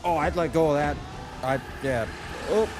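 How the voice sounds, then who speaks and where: A man's voice: a little high, normal speed. A man giving casual, off-the-cuff commentary as a reaction video host, rambling and thinking aloud. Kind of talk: casual off-the-cuff commentary while watching game cutscenes. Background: game cutscene audio and music.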